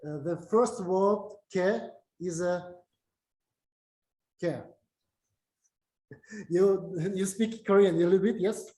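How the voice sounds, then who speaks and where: Slow, high-voiced man, Russian first language. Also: dark tone, echoey room, rough recording. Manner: halting, many fillers and restarts. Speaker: lecturer. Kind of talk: academic talk with slides.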